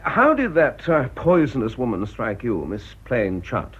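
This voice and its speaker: An actor playing a pompous middle-aged English television presenter, courtly but irascible, with a husky voice and grand theatrical delivery in a radio play. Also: male voice, mid-range voice, wildly swooping pitch, a little slow, a bit bright, heavily acted. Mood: peevish. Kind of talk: radio drama acting. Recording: dull, muffled radio recording.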